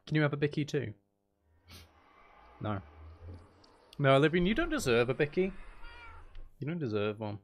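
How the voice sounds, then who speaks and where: A man with a slightly high, very expressive voice hosts a casual gaming stream. He is playful and silly, often repeating little phrases as he chats. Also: normal speed, long pauses. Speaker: livestream host playing a video game. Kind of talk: casual gaming livestream chat.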